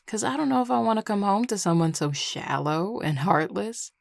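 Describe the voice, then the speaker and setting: A woman's voice: medium pitch, expressive, normal speed, gravelly, no room sound, clean studio sound. A woman acting a flirtatious, teasing Southern werewolf in an audio roleplay, with a Southern US accent and a colloquial drawl. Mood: playful, flirty.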